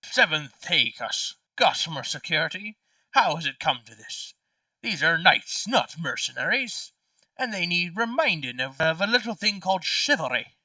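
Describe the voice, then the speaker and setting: A man's voice: a little high, very animated, normal speed, bright, forceful tone, no room sound, distant mic, exaggerated voice. A man playing an aggrieved, pompous nobleman in an amateur game, pleading with theatrical formality. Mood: indignant pleading. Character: aggrieved pompous nobleman petitioning the king, grave and dramatic. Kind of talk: amateur role-play in a party game.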